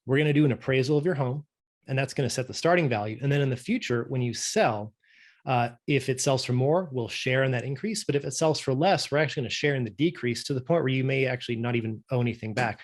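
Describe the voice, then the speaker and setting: A man's voice: medium pitch, fast talker. A man originally from Norway, a podcast guest explaining things patiently and conversationally, often checking in with tag questions. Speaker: guest, company director.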